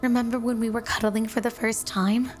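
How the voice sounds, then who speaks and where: High-voiced doting yandere snow woman, played by an actress. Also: level tone, a little fast, faint music behind, natural voice. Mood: playful, tender. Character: flirty, devoted, possessive yandere snow woman wife. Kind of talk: intimate ASMR roleplay.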